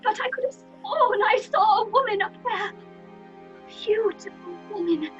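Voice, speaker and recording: A woman's voice: extremely high pitch, measured pace, highs cut off, soft background music. A woman playing a frightened young ingenue in a horror drama, earnest and tremulous with guilt and distress. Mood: shaken, insistent. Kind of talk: theatrical horror audio drama.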